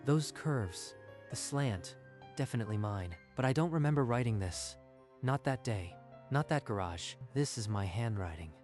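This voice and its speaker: A man playing a reserved, bewildered office commuter in a dramatic manga dub, halting and understated. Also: medium pitch, animated, a little mellow, natural voice. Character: reserved, bewildered male office carpool passenger. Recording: music under the voice.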